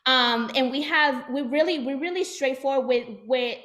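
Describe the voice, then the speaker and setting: Young Puerto Rican-accented woman, high voice. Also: moderate pace, fairly bright tone. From Puerto Rico. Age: 20s. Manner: candid, chatty, many fillers and restarts. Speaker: insurance agency owner giving a talk. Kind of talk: motivational training talk.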